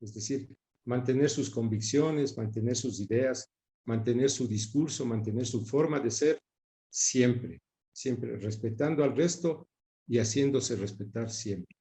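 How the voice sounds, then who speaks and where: An older man from Ecuador answering interview questions in a measured, reflective way, often repeating words for emphasis. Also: medium pitch, dark tone. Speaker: interviewee, son of a broadcaster.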